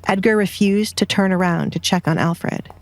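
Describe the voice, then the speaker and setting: A woman's voice: mid-range voice, fairly even intonation, moderate pace. A woman reading scripted romance fiction as an audiobook narrator.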